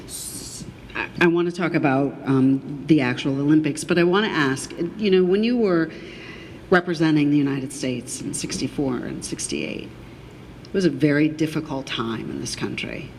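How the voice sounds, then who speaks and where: An interviewer asking questions in an earnest, measured manner. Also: mid-range voice, slight background noise.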